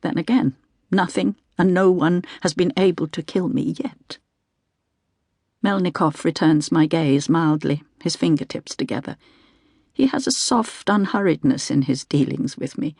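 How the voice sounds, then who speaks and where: A woman reading scripted first-person literary fiction as an audiobook narrator, in a measured, deliberate way. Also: low-pitched, expressive, gravelly, a little mellow, slightly rough, no echo, clean studio sound.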